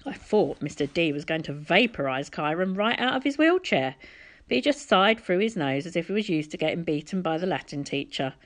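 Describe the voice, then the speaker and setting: A woman reading a children's adventure story aloud as expressive scripted narration. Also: on the low side, brisk. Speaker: audiobook reader.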